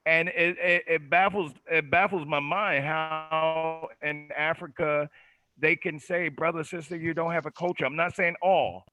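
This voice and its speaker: A man joins a podcast discussion by video call, with a slightly high, bright and tense voice. He talks earnestly and emphatically and keeps repeating his points. His voice sounds dry and close. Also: fairly even intonation, unhurried, no echo, soft background music. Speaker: podcast guest. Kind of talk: casual discussion.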